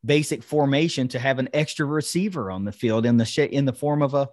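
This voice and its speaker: A man's voice: medium pitch, a little fast, a little mellow, no echo, clean studio sound. A man hosting a sports podcast, casually breaking down football in an enthusiastic, conversational way. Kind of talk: casual football analysis chat.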